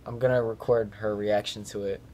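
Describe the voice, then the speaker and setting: A teenage boy filming himself on a phone at home, talking low and mumbly in casual slang. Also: level tone, a little fast, mellow. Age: teens. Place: small room at home. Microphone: phone camera at arm's length.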